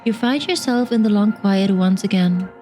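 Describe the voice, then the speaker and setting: A woman's voice: medium pitch, normal speed, warm tone. A woman with a German accent, a native German speaker, hosting a let's play, reading game text aloud and commenting casually. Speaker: gaming video host. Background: game music.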